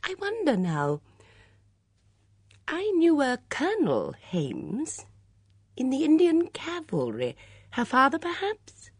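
Woman playing a gentle shrewd old lady. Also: sits high, wildly swooping pitch, no room sound, clean studio sound, voice pushed up, exaggerated voice. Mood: probing. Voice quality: soft and gently measured. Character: gentle, shrewd elderly spinster sleuth.

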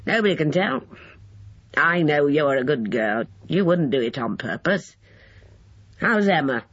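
A male narrator playing a gleeful, spiteful bedridden old man who crows and laughs in a high whinny, on a dull lo-fi recording. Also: expressive, measured pace, fairly bright tone, husky, full character voice. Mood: grumbling. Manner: high whinnying cackle, grumbling.

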